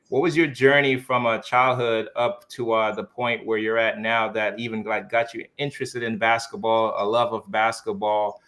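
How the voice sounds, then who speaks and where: A man's voice: lowish voice, bright, forceful tone. A man from Jamaica hosting a podcast, casually encouraging his guest and often saying "man". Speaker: podcast host. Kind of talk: podcast interview.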